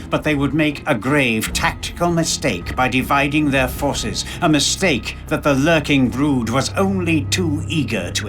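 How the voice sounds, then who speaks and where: An actor playing a grave war chronicler, narrating a video game in a formal, portentous manner. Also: male voice, on the high side, a bit bright. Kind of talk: scripted dramatic game narration.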